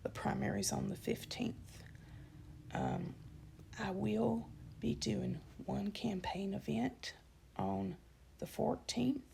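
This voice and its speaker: Slow, heavily creaky young woman. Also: medium pitch, fairly even intonation, mellow, slight background noise. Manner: indignant and emphatic, with frequent ums and self-corrections. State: dry-throated. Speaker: channel host giving a campaign statement. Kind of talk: unscripted spoken statement to camera.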